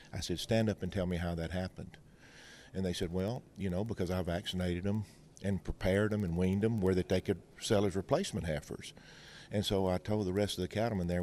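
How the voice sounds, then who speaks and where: Deep-voiced Mississippi man, mellow and folksy. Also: slight background noise. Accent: Mississippi Southern American. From Mississippi, United States. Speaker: veterinarian, technical consultant, interview guest. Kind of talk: explanatory interview talk with anecdotes and analogies.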